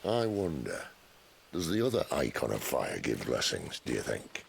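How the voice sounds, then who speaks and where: A gravelly, wry rebel leader. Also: male voice, rumbling low, wildly swooping pitch, a little hiss or hum, full character voice. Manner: dry, teasing, unhurried. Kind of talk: video game voice acting.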